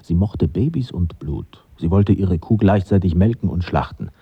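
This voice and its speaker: An actor playing a bitter young man with morbid humour and a very husky voice in a radio drama monologue. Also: low-pitched, animated, normal speed, no room sound, highs cut off, heavily acted.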